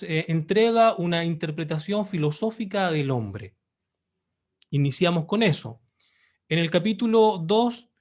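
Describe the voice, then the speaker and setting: A Chilean man in his thirties speaks at a slightly raised pitch, presenting his book online. His delivery is formal and measured, with pauses and hesitations between phrases. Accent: Chilean Spanish. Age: 30s.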